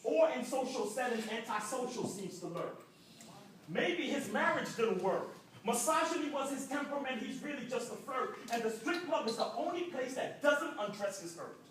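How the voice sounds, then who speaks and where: A man's voice: extremely high pitch, lively intonation, normal speed, a bit bright, a little hiss or hum. A young man from Jamaica performing rhythmic, rhyming spoken word poetry at an arts center. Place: indoor arts center hall. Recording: echoey room from far off.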